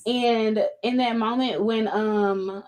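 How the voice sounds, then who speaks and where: A woman's voice: a little high, fairly even intonation, slow, darkish tone. A woman co-hosting a casual live-stream chat, candid and chatty with many asides.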